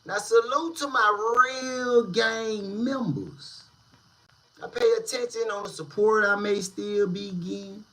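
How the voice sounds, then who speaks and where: A man hosting a casual street-news commentary channel, talking in slang with drawn-out, emphatic delivery. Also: high-pitched, a bit bright.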